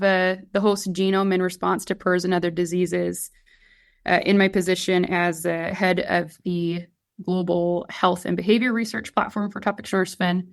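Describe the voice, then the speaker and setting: Woman explaining as expert guest. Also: mid-range voice. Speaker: guest expert, swine geneticist. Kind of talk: explanatory podcast interview talk.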